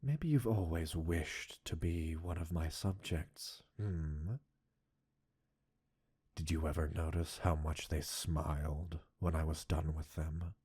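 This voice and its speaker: A man playing an obsessive, coolly possessive mad-scientist captor in an intimate roleplay audio, speaking with deliberate, teasing dominance and a breathy huskiness. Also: rumbling low, warm tone, exaggerated voice. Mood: coolly menacing. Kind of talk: intimate scripted roleplay addressed to listener.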